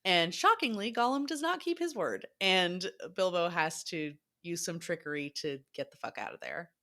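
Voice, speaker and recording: A woman's voice: fairly low pitch, very animated, moderate pace, bright, forceful tone. A woman co-hosting a podcast, retelling a story in an informal, emphatic way during casual book discussion. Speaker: podcast co-host.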